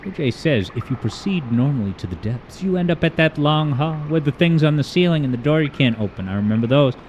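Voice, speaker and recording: Man, game streamer, smooth voice, rambling and joking, reading chat, home mic with boosted EQ, steady background hiss. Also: fairly high pitch, brisk, dull audio. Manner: rambling, joking, self-deprecating. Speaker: livestream host. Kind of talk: casual chat, reading viewer comments while gaming.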